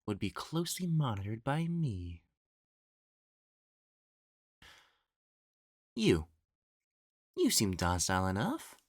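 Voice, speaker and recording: A man's voice: fairly high pitch, no room sound, studio quality. Haughty, theatrical matchmaker played by a man, swooping intonation. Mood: haughty, formal. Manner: pompous, theatrical, formal old-fashioned phrasing. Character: elegant, haughty, easily exasperated young male matchmaker of ancient China. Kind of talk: scripted audio roleplay acting.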